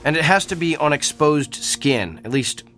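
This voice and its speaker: Earnest doctor, higher-pitched voice. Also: male voice, animated, normal speed, fairly bright tone. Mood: insistent. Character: earnest male doctor with an uncanny diagnostic gift. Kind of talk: radio drama acting.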